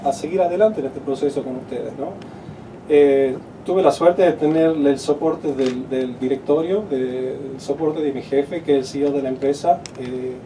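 A middle-aged man with an Argentine accent gives an unscripted thank-you speech at an outdoor ceremony, full of fillers and restarts. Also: on the high side. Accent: Argentine Spanish. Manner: halting, many filler words and restarts. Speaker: company executive giving a speech. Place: outdoors at a groundbreaking ceremony under a tent. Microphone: handheld mic at a podium, filmed from afar. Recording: distant outdoor camera audio with noise.

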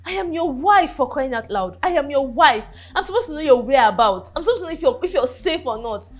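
A woman's voice: sits high, animated, brisk. An actress playing a devoted wife who pleads with and confronts her husband, often repeating herself. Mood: pleading.

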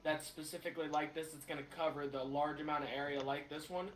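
A man's voice: on the high side, little pitch movement, quick pace, fairly bright tone. A man from Ohio on a voice call, chatting casually and arguing his point at length, swearing as he goes. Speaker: friend on call. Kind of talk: casual chat. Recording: echoey remote audio with faint hiss.

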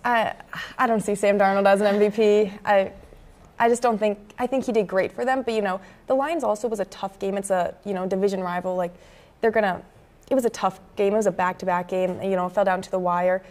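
A woman's voice: mid-range voice, fairly even intonation, a little fast, darkish tone. A young American woman co-hosting a student sports show with conversational commentary, recorded on a lapel mic. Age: young adult, early 20s. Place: indoor campus TV studio at a desk.

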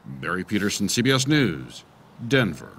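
A man's voice: low-pitched, very animated, moderate pace, creaky, fairly bright tone, slight background noise. An American man in his sixties narrating a scripted television news feature as a correspondent.

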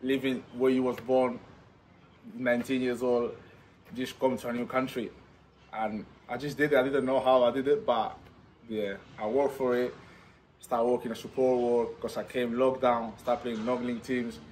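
A man's voice: medium pitch, little pitch movement, normal speed, a little mellow, a little hiss or hum, rough recording. A man in his twenties from Spain, with a Spanish accent and plain, non-native English, talking eagerly in an interview in a stadium's stands. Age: 20s. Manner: enthusiastic, non-native English with simple phrasing. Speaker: footballer. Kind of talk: post-signing interview. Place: outdoor football stadium stands.

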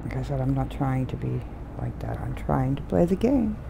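A middle-aged woman streaming a game from home on a desk mic, talking softly in meandering, complaining asides. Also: extremely low pitch, lively intonation, normal speed, vocal fry, noisy background. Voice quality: soft voice. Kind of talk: rambling casual commentary. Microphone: desk mic with headphones. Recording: loud game music behind voice. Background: game music.

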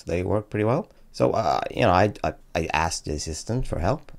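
Deep voiced guy w vocal fry doing a casual tutorial, dry tongue in cheek, close on a desk mic. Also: darkish tone. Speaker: tech YouTuber and presenter. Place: small dim home room, indoors. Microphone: close boom-arm desk microphone.

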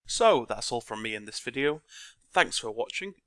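A man with a croaky voice talking through a game scripting tutorial step by step from his desk. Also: medium pitch, normal speed, dry recording. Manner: relaxed step-by-step explaining. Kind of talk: screen-recorded tutorial narration.

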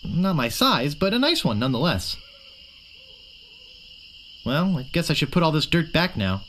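A mild, eager young wannabe pirate in a comic game. He sounds boyish and earnest, leaping around in pitch, with faint noise behind. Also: very animated, moderate pace, a bit bright. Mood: cheerful. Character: naive, eager young male wannabe pirate, polite and wimpy. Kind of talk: comic adventure game acting.

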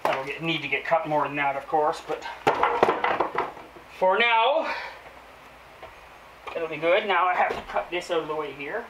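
A man's voice: a little high, a little slow, pauses often, reverberant, heavy background noise. A middle-aged man casually talking to viewers while working on a door, thinking aloud as he measures. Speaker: homestead vlogger. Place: doorway of a wooden cabin, open to outdoors.

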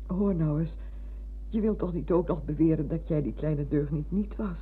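An actress playing a chatty, sentimental old retired schoolmistress in a radio play, halting and repeating herself. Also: female voice, deep voice, slight background noise, close to an everyday voice. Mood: teasing reproach. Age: older. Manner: garrulous, halting with repetitions. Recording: muffled, lo-fi old radio recording.

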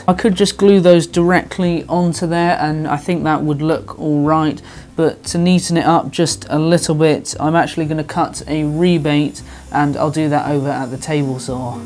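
A young adult man, host of a woodworking channel, calmly narrating each step of a build in an instructional voice-over. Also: a little high, moderate pace. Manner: calm, explains each step plainly. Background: background music.

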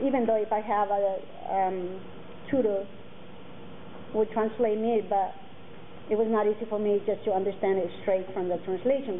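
A woman in her forties, a native Mixtec speaker, speaking earnestly to students and halting often with fillers and restarts. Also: mid-range voice. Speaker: guest speaker. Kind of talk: personal talk to students. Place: classroom with curtains, indoors. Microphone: table mic on a stand. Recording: tinny phone-like sound, faint noise.

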